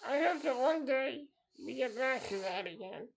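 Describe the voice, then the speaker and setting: A man's voice: very high-pitched, expressive, moderate pace, gravelly, rough recording. A man in his thirties hosting a solo podcast, talking in an earnest, repetitive way and circling back to the same phrases. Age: 30s. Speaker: podcast host.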